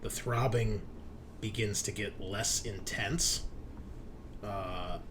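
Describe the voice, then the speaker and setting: A man's voice: on the low side, steady tone, slow pace, background noise. A man hosting and game mastering a tabletop roleplaying session in an informal, casual way.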